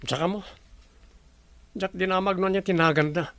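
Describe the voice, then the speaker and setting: A polite, smitten young resort owner in a radio drama. He has a fairly high male voice and an Ilocano Filipino accent. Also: fairly even intonation, normal speed, a bit bright, no echo. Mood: intrigued.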